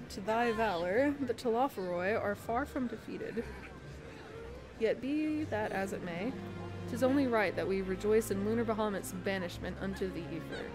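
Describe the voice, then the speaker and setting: Woman reading game dialogue over loud music. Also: on the high side. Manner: theatrical when reading, with casual playful asides. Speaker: streamer playing through a game and reading unvoiced dialogue aloud. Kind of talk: let's-play commentary and dialogue read-aloud. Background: video game music.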